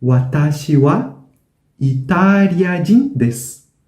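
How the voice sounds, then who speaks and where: A man teaching a language lesson, saying each word slowly and repeating it several times. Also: on the high side, expressive, a little mellow. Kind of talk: slow, repetitive language lesson. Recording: roomy echo.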